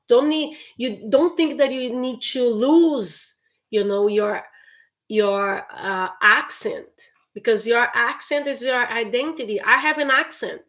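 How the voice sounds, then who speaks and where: Slow-talking Brazilian woman teacher. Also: a little high, fairly bright tone. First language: Portuguese. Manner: encouraging, chatty, laughs often. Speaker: English teacher. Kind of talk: live stream Q&A.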